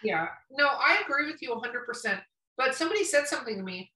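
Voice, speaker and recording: A woman's voice: high-pitched, big pitch swings, fast, sharp tone. A woman co-hosting a podcast in casual chat, speaking bluntly and emphatically and swearing freely. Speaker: podcast co-host. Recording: roomy echo, distant from mic.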